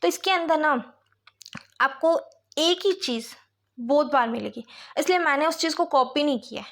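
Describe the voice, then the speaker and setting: A woman's voice: high voice, lively intonation, normal speed, fairly bright tone. A woman with an Indian accent teaching a lesson, reading out points and explaining them with frequent little check-in phrases. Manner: mixes Hindi with English terms, often checks understanding with tag phrases.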